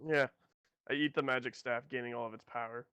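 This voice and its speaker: A man's voice: medium pitch, fairly even intonation, fairly quick, bright, forceful tone, dull audio, a little hiss or hum. A man playing a tabletop role-playing game online, joking and bantering with the group. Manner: jokey, playful. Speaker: player.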